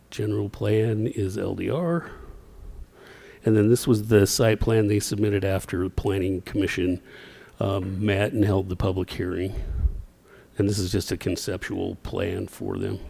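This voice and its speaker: A man from city planning staff presenting zoning items to a city council in a plain, steady way. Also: lowish voice, vocal fry, breathy edge. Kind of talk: formal presentation at a council meeting.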